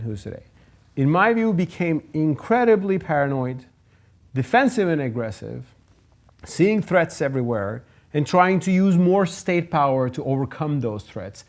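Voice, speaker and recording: A man with a Turkish accent speaking at a public forum, thoughtful and emphatic, pausing and restarting as he explains. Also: fairly high pitch, expressive. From Turkey. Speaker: guest speaker. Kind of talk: public forum talk and answers. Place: lecture hall forum.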